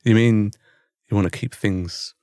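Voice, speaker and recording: A man's voice: on the low side, steady tone, unhurried, a little mellow. A British man talks casually as a podcast co-host. He questions and teases as he goes, in an everyday conversational way. The sound is dry, with no room echo. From Britain. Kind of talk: casual chat.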